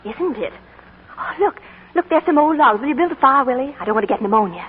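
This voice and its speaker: A spirited, teasing young woman in a 1940s radio play. Her voice is high and swings freely in pitch. She sounds curious and affectionate, heard through a tinny old broadcast. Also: lively intonation, fast talker, a little hiss or hum. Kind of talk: 1940s radio drama acting.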